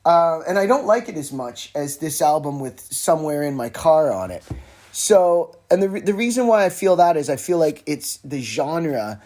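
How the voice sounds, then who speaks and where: A man's voice: on the high side, very animated, moderate pace, a little mellow. A man hosting a podcast alone, rambling casually about music with wry, informal asides and frequently interrupting himself. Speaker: podcast host. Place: informal solo recording with a phone at hand.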